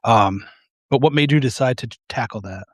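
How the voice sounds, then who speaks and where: A man's voice: medium pitch, brisk, a bit bright, dry recording, studio quality. A man hosting a casual live interview on a video call, rambling and self-deprecating with many fillers. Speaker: host.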